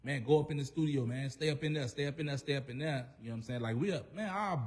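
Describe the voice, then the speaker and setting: A man's voice: medium pitch, fast, a little mellow. A man speaking as a guest in a casual radio interview, earnest and reflective, talking through his experience. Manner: earnest, reflective, full of "you know what I'm saying". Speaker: guest rapper.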